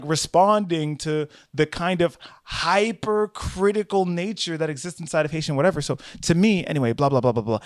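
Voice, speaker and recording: A young American man hosting a solo talk show, rambling and animated, often jumping into high mocking voices to quote people. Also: no room sound, clean studio sound. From United States. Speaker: podcast host. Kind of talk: casual solo commentary.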